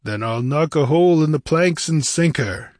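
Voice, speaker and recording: A man playing a stern, fierce barbarian warrior in a fantasy audiobook, gruff, imperious and challenging. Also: mid-range voice, measured pace, no echo, clean studio sound, pitched above the performer's own voice, close to an everyday voice. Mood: defiant. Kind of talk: dramatic fantasy audiobook character.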